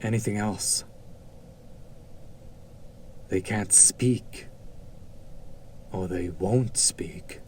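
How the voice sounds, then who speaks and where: A man's voice: lowish voice, drawn-out words, pauses often, darkish tone, slight background noise. A man playing a haughty, sardonic demon buyer in an audio drama, drawling with condescending, theatrical skepticism. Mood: cool skepticism. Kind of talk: scripted roleplay audio drama acting.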